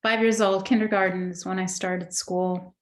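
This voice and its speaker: A middle-aged woman born in Egypt, a guest in an interview, telling her life story thoughtfully with many pauses and restarts. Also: medium pitch, level tone. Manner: thoughtful, many fillers and restarts, warm recollection. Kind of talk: reflective personal storytelling.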